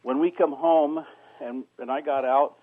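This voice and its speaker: A man's voice: a little high, fairly even intonation, no echo. Insistent older man on a tinny phone line. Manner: insistent, repetitive, halting. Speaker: radio call-in caller. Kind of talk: argumentative phone-in talk.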